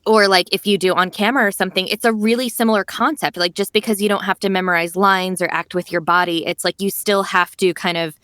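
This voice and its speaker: A young adult woman, a voice actress, talking in a casual podcast interview, chatty and animated with lots of "like" and "you know". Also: medium pitch, fast talker, few pauses, no room sound, clean studio sound. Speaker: voice actress guest.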